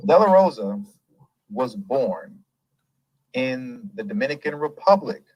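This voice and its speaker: A man's voice: mid-range voice, a little slow, husky, rough recording. A man hosting a political news show, reading an article aloud and stopping often to add his own remarks and asides. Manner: reads a passage, then stops to add his own remarks; animated, interrupts himself with asides and laughs.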